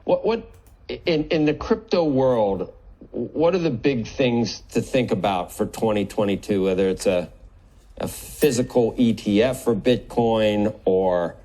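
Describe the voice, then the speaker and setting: Man, tv anchor, halting questions, lowish mellow voice. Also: poor audio. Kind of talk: business TV interview.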